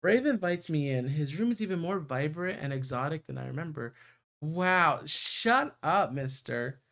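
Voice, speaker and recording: A man with a fairly high voice and plenty of vocal fry reads a story aloud on a livestream. He is playful and chatty, and he trips over the odd word as he goes. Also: expressive, moderate pace, fairly bright tone. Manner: playful, stumbles while reading. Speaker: streamer reading a visual novel aloud. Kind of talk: livestream read-aloud narration with chatty asides.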